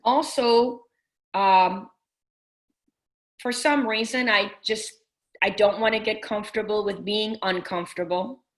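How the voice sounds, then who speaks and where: A woman's voice: medium pitch, a little slow, pauses often. Earnest middle-aged woman coach. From Persian family. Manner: earnest, full of restarts and fillers. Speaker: business coach guest. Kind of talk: casual interview talk.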